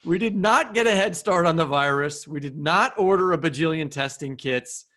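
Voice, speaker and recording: An American man with a slightly high voice works as a podcast co-host. He introduces and interviews in a conversational style, addressing listeners directly. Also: normal speed, sharp tone.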